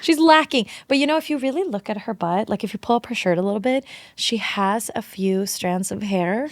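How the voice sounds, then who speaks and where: A woman's voice: mid-range voice, brisk, dry recording, studio quality. A woman from Sweden, a native Swedish speaker, chatting informally and animatedly as a podcast guest. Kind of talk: casual chat.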